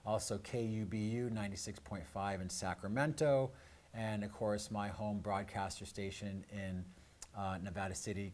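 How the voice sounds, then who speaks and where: A man with a fairly low voice hosts a live show. He speaks without a script, introducing things in a halting way. He often pauses on filler words as he goes. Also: darkish tone. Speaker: radio and live stream show host.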